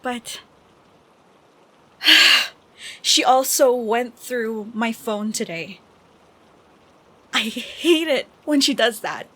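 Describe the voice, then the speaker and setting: A young woman playing an affectionate, troubled college-age best friend in an intimate audio roleplay, speaking softly and hesitantly with sighs. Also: on the high side, full character voice. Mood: upset, weary. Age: young adult. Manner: soft, hesitant, slow with sighs. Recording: steady background noise of rain. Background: rain sounds.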